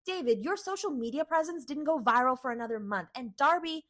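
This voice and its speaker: A woman's voice: high-pitched, animated, brisk, fairly bright tone. A woman playing an affectionate, slightly sheepish young housemate in a comedy audio drama, sweet and coaxing.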